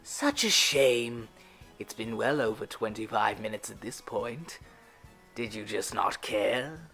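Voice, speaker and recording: A woman playing a sarcastic, sinister male clown villain in an audio drama, with a creaky voice and mocking, drawn-out delivery that swings from murmurs to shouts. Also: very deep voice, lively intonation, soft background music, exaggerated voice.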